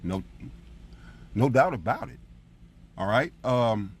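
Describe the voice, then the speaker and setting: A man with a husky voice teaching scripture as a preacher, in an emphatic, colloquial style full of rhetorical check-ins. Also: mid-range voice, sing-song, takes it slowly. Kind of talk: fiery informal Bible teaching with commentary on scripture readings.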